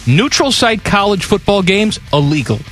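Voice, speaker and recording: A man's voice: high-pitched, very animated, moderate pace, a bit bright, soft background music. A man co-hosting a college football talk show, opinionated and sarcastic in casual banter. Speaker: sports talk co-host.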